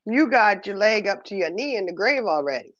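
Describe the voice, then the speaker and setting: A woman's voice: mid-range voice, lively intonation, fairly quick, fairly bright tone, no room sound, muffled recording. A woman co-hosting a casual chat, teasing and joking. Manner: teasing, dry joker. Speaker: podcast co-host.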